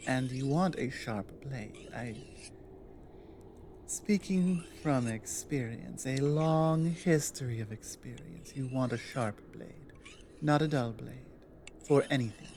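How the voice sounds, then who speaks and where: A woman playing a big, earnest, protective orc warrior in an intimate ASMR audio drama, speaking low in a halting, thoughtful way. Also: mellow, a little hiss or hum. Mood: matter-of-fact. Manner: halting, deliberate, earnest delivery with pauses.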